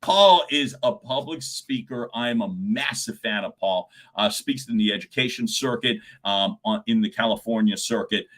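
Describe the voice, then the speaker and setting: A middle-aged man with a loud speaking voice coaches viewers on a live stream, and his tone is bright and forceful. He talks in lively bursts, with his pitch and volume rising and falling. He often chuckles between points. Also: normal speed, few pauses.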